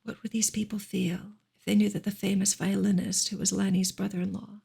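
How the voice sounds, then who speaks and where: A woman gives a soft ASMR reading of literary prose. Her voice is very breathy and husky, often slipping toward a whisper, with a creaky grain. She narrates gently and carefully, keeping her intonation fairly even. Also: medium pitch. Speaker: reader, ASMR creator.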